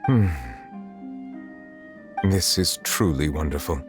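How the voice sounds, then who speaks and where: Deep, stately, formal gentleman. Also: lively intonation, slow pace, long reverb tail, over music, exaggerated voice. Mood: composed. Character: dignified, reserved high-ranking male judge, formal and measured. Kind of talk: video game voice acting.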